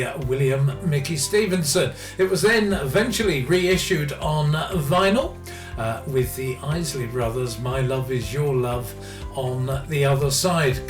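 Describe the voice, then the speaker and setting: A male music radio host with a slightly raised pitch talks through records and dedications. His delivery is informal and a little hesitant. He sounds a bit off the mic, with clear music playing behind him. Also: roomy sound, far from the mic.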